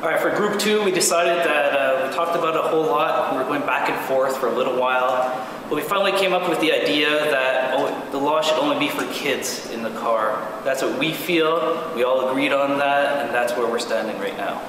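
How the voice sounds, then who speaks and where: A man's voice: mid-range voice, fairly quick, hardly any pauses. A man giving an informal report to a meeting as a group spokesman. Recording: distant, very echoey, noisy room.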